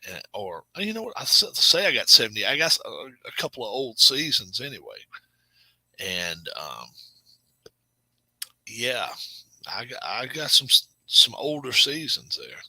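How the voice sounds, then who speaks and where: A middle-aged man hosting a casual live stream, chatting with viewers in a rambling, self-correcting way and sometimes dropping to a low murmur. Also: very animated, a little slow, sharp tone, breathy edge, no room sound. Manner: drops to a low murmur at times. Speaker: channel host.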